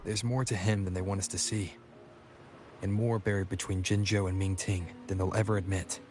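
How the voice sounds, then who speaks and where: A man's voice: deep voice, little pitch movement, fairly quick, background noise, close to an everyday voice. An actor voicing a serious, brooding male hero in terse, grave video game dialogue. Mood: grave, suspicious. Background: game music.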